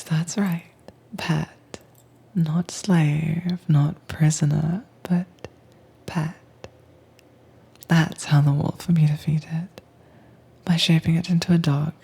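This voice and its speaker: Woman acting a breathy, whispering, dominant monster tamer. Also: deep voice, mellow, full character voice. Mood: coaxing, firm. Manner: slow, coaxing, commanding. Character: calm, teasingly dominant woman who tames captive werewolves. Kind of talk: soft-spoken whispered roleplay audio, ASMR.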